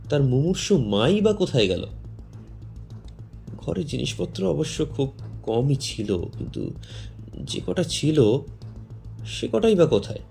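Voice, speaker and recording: A man narrating a horror story in the first person, theatrical and suspenseful, with drawn-out pauses. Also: fairly high pitch, vocal fry, mellow.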